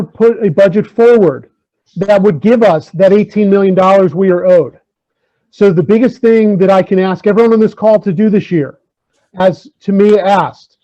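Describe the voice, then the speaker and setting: A man's voice: high voice, mellow. A man, a school superintendent, speaking at an online community meeting over a video call, earnest and hesitant with many fillers, repeating key figures for emphasis. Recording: dull lo-fi call audio.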